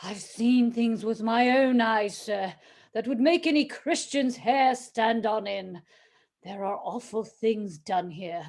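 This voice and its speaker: A woman's voice: on the high side, slow pace, darkish tone. A woman playing a superstitious, deferential housekeeper of a haunted manor in a radio play, with an ominous, formal way of speaking. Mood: foreboding. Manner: ominous, dramatic, formal servant address.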